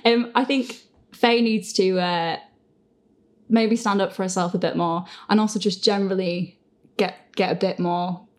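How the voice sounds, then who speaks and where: A woman's voice: medium pitch, fairly even intonation, unhurried. A woman hosting a casual group Q&A, chatting informally with a giggly manner. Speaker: host and creator of an audio drama. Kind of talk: casual, giggly panel Q&A chat.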